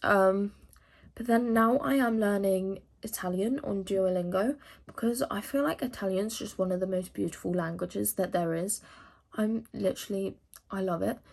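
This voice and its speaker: A woman's voice: mid-range voice, creaky, a little mellow. A teenage woman from England chatting casually to camera, rambling with lots of fillers as she answers questions. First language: English. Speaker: vlogger.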